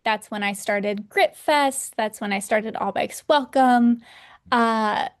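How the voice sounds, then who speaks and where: A woman in her thirties from Texas, a podcast guest telling her story in a relaxed, rambling way. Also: a little high, slow pace. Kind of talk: casual interview.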